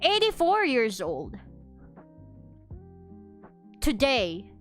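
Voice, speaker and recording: A young woman streaming as a VTuber, chatting casually while she draws and reacts to chat with animated, mock-outraged restarts. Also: high voice, very slow, soft background music.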